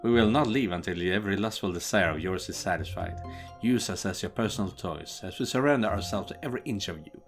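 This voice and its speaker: A man voicing a deferential, seductive servant, speaking softly and formally in a comedic animated story. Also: low-pitched, quick pace, faint music behind.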